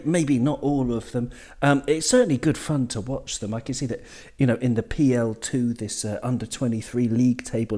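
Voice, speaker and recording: A man's voice: mid-range voice, darkish tone. A man hosting a football podcast, an upbeat, enthusiastic interviewer. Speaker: podcast host. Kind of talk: casual podcast chat.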